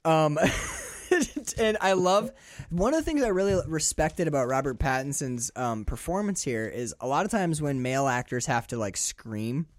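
A man co-hosting a casual film podcast. He talks excitably, swears freely, often laughs mid-sentence, and his voice jumps up high when he gets worked up. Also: normal speed, dry recording, studio quality. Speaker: podcast co-host. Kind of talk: casual film-discussion chat.

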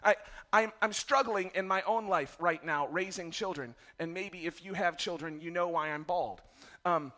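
Raspy-voiced pastor preaching, bright and high. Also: male voice, moderate pace. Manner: self-deprecating, candid about family struggles. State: raspy voice from yelling. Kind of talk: sermon.

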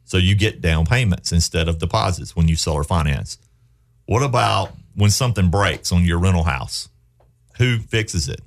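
A man's voice: low-pitched, expressive, normal speed, gravelly. A man hosting a real estate radio show in a studio, talking in a folksy, persuasive way and asking leading questions. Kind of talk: casual radio talk.

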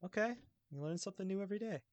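A man chatting casually as a quiz contestant, thinking aloud in an excitable, hesitant way. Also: high voice, very fast, highs cut off, a crowd in the background. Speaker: podcast guest, quiz contestant.

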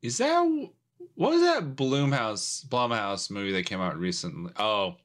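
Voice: medium pitch, big pitch swings, a little slow. A podcast co-host from Montana, chatting casually and laughing often.

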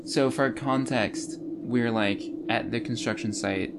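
A man co-hosting a podcast, talking in a casual, halting, conversational way. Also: medium pitch, very noisy. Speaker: podcast co-host. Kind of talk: casual book discussion.

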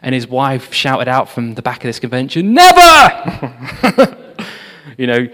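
A man giving a sermon, telling revival history in an animated storytelling manner with frequent fillers. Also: mid-range voice, unhurried. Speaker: preacher. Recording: long hall reverb.

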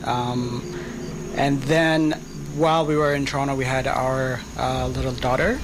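A man's voice: mid-range voice, flat delivery, a little slow, noisy background. A man from Ethiopia, a filmmaker guest on a radio show, speaking thoughtfully with frequent ums. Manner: thoughtful, soft-spoken with many ums. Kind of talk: casual radio interview.

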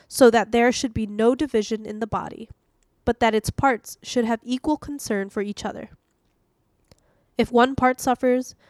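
A woman's voice: a little high, dry recording. A woman hosting and narrating a scripted devotional reading. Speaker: host reading daily devotionals.

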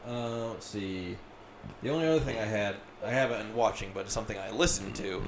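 A man's voice: fairly low pitch, brisk, slight background noise. A man hosting a podcast in casual chat, rambling, swearing freely, with many fillers. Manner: profane, rambling, filler-heavy. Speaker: podcast host.

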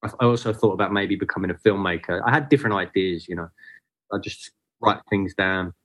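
A man's voice: low voice, brisk, fairly bright tone. A man talking as a photographer guest in a casual podcast chat, hesitant with many fillers and restarts.

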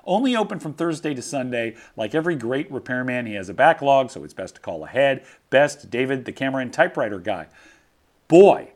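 A man's voice: medium pitch, big pitch swings, fairly quick. Male podcast host, jokey and playful, voice all over the place, reading listener mail. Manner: playful, jokey, self-mocking.

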